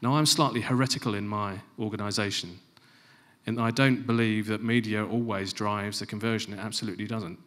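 A middle-aged man giving a conference presentation on a large stage into a handheld mic, stressing key phrases and dropping to quieter asides. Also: fairly low pitch, fairly even intonation, a little fast. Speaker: conference speaker. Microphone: handheld microphone.

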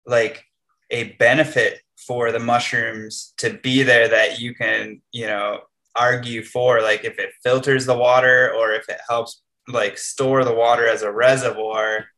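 A man in his thirties hosts a video call from a room at home. His voice has a bright, forceful edge but stays fairly flat in pitch, and he talks in an easygoing way, full of "um" and "you know". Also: unhurried, poor audio. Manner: easygoing, filler-heavy. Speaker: mushroom farm host. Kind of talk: casual video call chat.

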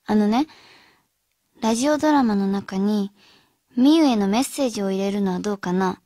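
An actress playing a thoughtful, caring high school girl who gently reasons with friends in a radio drama. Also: normal speed, no room sound, studio quality, natural voice. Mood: resolved.

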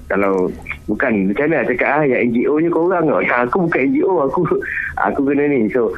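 A Malaysian man speaks through a thin, band-limited phone connection at a middling pitch. He talks casually with English words mixed in, explaining himself in a blunt, insistent way. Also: expressive, fast talker. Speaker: YouTuber and workshop trainer. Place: on a phone call, broadcast on radio. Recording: muffled narrow phone line from radio.

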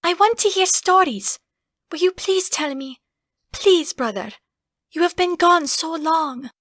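A woman voicing a gentle, devoted young sister in a fantasy audiobook, soft and pleading. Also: extremely high pitch, a little slow, dry recording, clean studio sound. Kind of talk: audiobook character acting.

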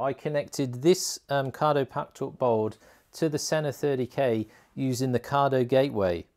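Male tutorial host, mid-pitched, chatty and colloquial, informal step-by-step product explanation. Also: a little mellow. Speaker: motorcycle gear video host.

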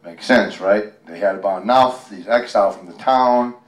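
A man's voice: lowish voice, normal speed. An American man reading a picture book aloud at a casual storytime, joking and chatting with folksy asides. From United States, Western Pennsylvania. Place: library room, live event. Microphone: handheld microphone held by a helper. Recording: roomy, distant from the microphone.

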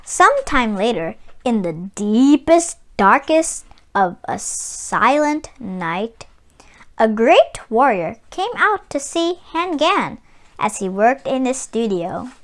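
A woman reading a children's picture book aloud as host, with deliberate, sing-song storytelling phrasing. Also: on the high side, very animated.

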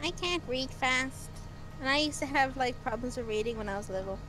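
A woman talks casually on a game stream with friends. Her voice is high, and music plays clearly behind her. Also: brisk. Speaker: amateur voice actor on a game stream. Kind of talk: casual chat.

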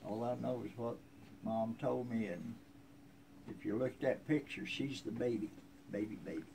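A man's voice: medium pitch, fairly even intonation, moderate pace, vocal fry, warm tone. A man in his seventies with a rural American accent, casually reminiscing in a folksy, plainspoken way out on a porch. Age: 70s. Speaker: interviewee telling family history. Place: outdoors on a covered wooden porch. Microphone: handheld phone a few feet away. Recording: outdoor ambient noise.

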